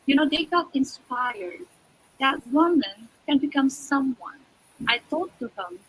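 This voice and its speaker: A young woman with an Indonesian accent, a pageant contestant giving earnest, halting answers in an interview. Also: high-pitched, fairly bright tone, a little hiss or hum, rough recording. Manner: earnest, halting non-native English.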